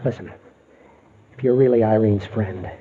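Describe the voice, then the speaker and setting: A man's voice: on the low side, moderate pace, natural voice. An actor playing an exhausted, obsessed man who speaks formally and commandingly in 1940s radio melodrama style. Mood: weary, determined. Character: exhausted, obsessed, haunted male castle heir. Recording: dull old radio recording, faint noise.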